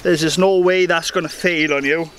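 Young guy chatting through a beekeeping suit review outside, upbeat, bit high-ish voice, birds and faint field noise behind. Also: fairly even intonation, moderate pace, a bit bright. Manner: chatty, upbeat, thinking aloud. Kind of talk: casual product review vlog. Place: outdoors in a rural field by a truck. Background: birdsong and faint outdoor ambience.

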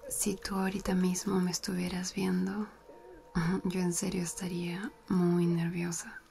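A woman's voice: fairly low pitch, level tone, unhurried, creaky, a little hiss or hum. A woman playing a giggly whispering flirt. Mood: flirty whisper. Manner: soft close whispering, giggly. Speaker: ASMR roleplay performer. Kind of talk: whispered ASMR roleplay.

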